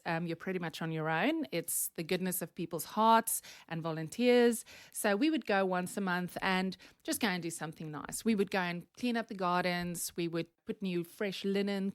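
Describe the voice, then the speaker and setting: A woman's voice: medium pitch, dry recording. Woman, Afrikaans accent, forties, chatty guest, laughs a lot, dry close sound. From South Africa. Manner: chatty, laughs often, many um fillers. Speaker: podcast guest. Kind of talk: conversational interview storytelling.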